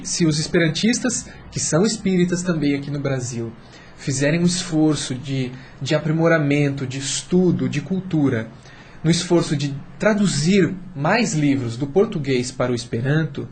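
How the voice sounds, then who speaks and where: A man's voice: on the high side, animated, moderate pace, a little hiss or hum. A Brazilian man explaining earnestly and conversationally as a guest on a radio panel, sometimes posing rhetorical questions.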